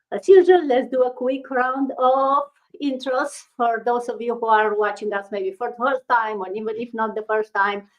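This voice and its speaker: A woman's voice: mid-range voice, highs cut off. A middle-aged woman hosting a live online panel over a video call, stopping often to search for words. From Romania. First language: Romanian. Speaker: host.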